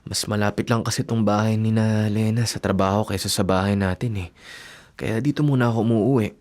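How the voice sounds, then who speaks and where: A man acting in a radio drama as a devoted, self-sacrificing young boyfriend and son, gentle and reassuring, with a Filipino accent. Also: lowish voice, steady tone, a little fast, close to an everyday voice. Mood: apologetic, explaining. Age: young adult.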